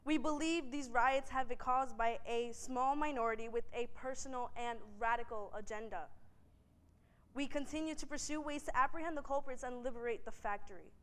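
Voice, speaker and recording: A woman playing a company security officer giving a formal, measured press statement in a stage drama. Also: high voice, clear, resonant tone, lightly acted. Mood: official, firm.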